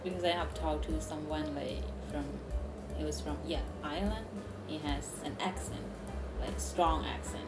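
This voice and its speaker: A woman with a low, dusky voice chats in English over a video call, hesitant and giggly, leaning on fillers. Her voice rings in a roomy space, and clear music plays underneath. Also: normal speed. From Thailand. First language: Thai. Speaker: channel host chatting with strangers. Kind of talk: casual video chat. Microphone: online video call.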